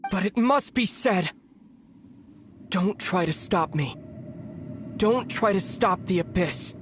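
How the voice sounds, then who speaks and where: A man's voice: high-pitched, animated, a little hiss or hum. An actor playing a grave, resolute young man in a game who leads the Abyss and speaks to his sister. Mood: solemn. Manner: grave, measured, solemn. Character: young man, lost brother leading the Abyss, solemn and resolute. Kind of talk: game cutscene voice acting.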